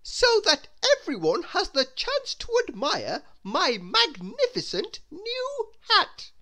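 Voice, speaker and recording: A super posh-acting snooty cartoon lady, really high and swoopy, bossy and full of herself. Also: wildly swooping pitch, slow pace, heavily acted. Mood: smug. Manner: lofty, grand, announcing loudly. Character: vain, haughty, self-important lady cartoon character. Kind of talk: children's storybook character voice.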